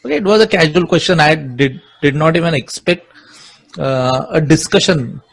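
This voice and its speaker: A middle-aged Indian man with an Indian English accent, a Marathi speaker and music composer, answering interview questions with many pauses and restarts. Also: a little high, creaky, a little hiss or hum, poor audio. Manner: hesitant, many fillers and restarts.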